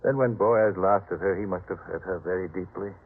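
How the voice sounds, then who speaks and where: A man's voice: low-pitched, brisk. A man playing a proud householder, earnest and theatrical, in a 1950s radio drama. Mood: remorseful. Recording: muffled, tinny 1950s radio recording.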